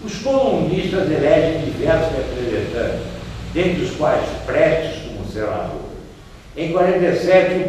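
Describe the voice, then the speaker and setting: A man's voice: fairly high pitch, darkish tone, distant mic, slight background noise. Older man lecturing in an echoey room. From Brazil. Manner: conversational, gestures as he talks, trails off searching for names. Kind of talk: seated history lecture.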